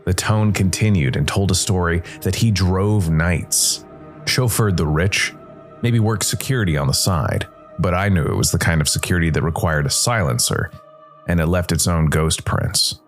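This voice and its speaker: A man voicing a deep, brooding noir jazzman detective. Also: moderate pace, soft background music, close to an everyday voice. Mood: moody, grave. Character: brooding jazz musician turned supernatural death investigator. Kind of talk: first-person noir narration.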